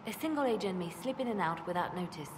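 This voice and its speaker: A woman playing a cool, calculating spymaster in a fantasy game, measured and intent. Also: fairly low pitch, animated, natural voice. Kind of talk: fantasy video game acting. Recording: noisy echoing hall.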